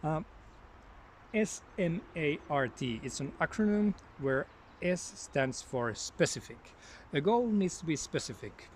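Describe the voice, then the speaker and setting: Finnish-accented man, relaxed vlog talk in a forest, wandering train of thought, faint outdoor sounds. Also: a little high, unhurried, slight background noise. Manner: rambling, thinks aloud, easily sidetracked. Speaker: language learner vlogger. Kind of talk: casual talk to camera.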